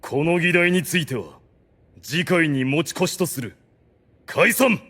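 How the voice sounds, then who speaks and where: A man's voice: on the high side, measured pace, a bit bright. A man playing a commanding, pompous undead overlord who is privately flustered, in a Japanese anime audio drama. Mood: stern. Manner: pompous, lordly. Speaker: ruler addressed by his subordinates. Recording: long hall-like reverb on voice.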